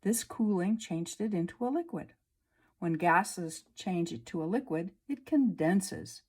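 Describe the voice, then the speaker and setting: A woman's voice: fairly low pitch, expressive, a little mellow. Woman reading a science book aloud. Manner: slow, clear storybook reading. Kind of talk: read-aloud lesson for children. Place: at a table indoors, reading printed pages.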